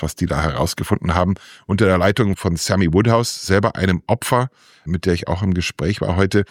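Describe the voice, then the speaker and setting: Low-voiced male correspondent, close dry sound. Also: no room sound. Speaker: political correspondent reporting from London. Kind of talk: unscripted interview answers, political commentary.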